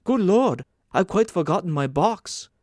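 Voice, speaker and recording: A man putting on a higher-pitched, naive young emigrant. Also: animated, unhurried, darkish tone, dry recording, clean studio sound. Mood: flustered, worried. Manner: earnest, hesitant, eager. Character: naive, anxious, polite young male emigrant. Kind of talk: audiobook character acting.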